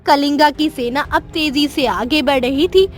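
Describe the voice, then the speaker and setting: High-pitched woman narrating a children's story. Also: a little slow, faint music behind.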